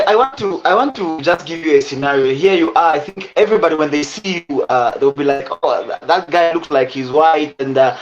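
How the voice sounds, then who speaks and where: A man hosting an interview, asking long, halting questions. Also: a little high, highs cut off. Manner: hesitant, halting questions with many fillers. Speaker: host.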